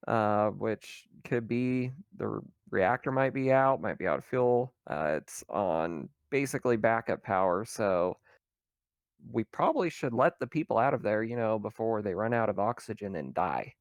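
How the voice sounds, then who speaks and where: A man's voice: medium pitch, dry recording, clean studio sound. A man chatting casually as a player at a tabletop role-playing game, sometimes speaking for his character.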